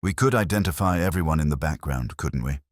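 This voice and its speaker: A man's voice: extremely low pitch, lively intonation, a little fast, no echo, studio quality. A man voicing a keen, practical police sergeant sidekick in a plain, attentive manner for a detective story.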